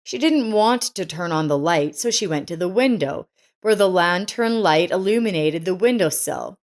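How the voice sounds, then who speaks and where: A woman's voice: lowish voice, animated, moderate pace. A woman reading a scripted family drama story as its narrator.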